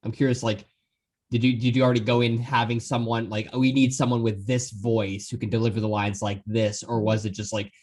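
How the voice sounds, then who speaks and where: A man's voice: medium pitch, level tone, brisk, darkish tone. A man hosting a podcast interview, asking casual, rambling questions in a roundabout way.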